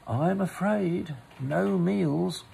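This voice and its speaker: A man voicing a kind, sensible young boy in a storybook, gentle and measured. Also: sits high, vocal fry, a little hiss or hum, voice pushed up, heavily acted. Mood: kindly.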